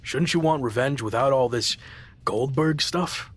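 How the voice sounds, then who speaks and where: A man's voice: mid-range voice, measured pace, close to an everyday voice. A man playing a stubborn, skeptical younger pro wrestler in a video game cutscene, dry and doubting in delivery.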